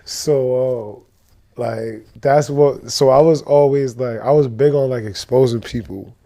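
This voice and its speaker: A man's voice: medium pitch, unhurried, warm tone. A young man from Boston, a rapper being interviewed, talking in a loose, rambling way with street slang and lots of fillers. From Boston, Massachusetts. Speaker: rapper, guest. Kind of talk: casual interview chat.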